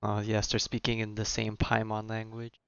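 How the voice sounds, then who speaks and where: A man with a lowish voice gives casual commentary as he plays a video game. The sound is dry and close, a little dull on top, with some gravel in his delivery. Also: completely flat delivery, normal speed, a bit bright, no room sound. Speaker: game streamer.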